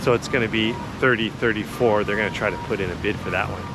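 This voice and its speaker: A man's voice: on the low side, quick pace. A man hosting a casual walking tour, chatting and explaining in an informal, laid-back way while recording outdoors on city streets. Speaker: vlog host and walking tour guide. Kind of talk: casual walk-and-talk narration. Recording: outdoor street noise, passing traffic. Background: city ambience and cars.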